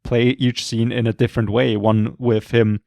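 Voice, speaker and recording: A man co-hosting a podcast, talking casually about horror films, rambling and swearing often, with a voice going hoarse. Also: mid-range voice, flat delivery, moderate pace, mellow, no echo. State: losing his voice, throat clearing. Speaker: podcast co-host. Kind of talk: casual film chat.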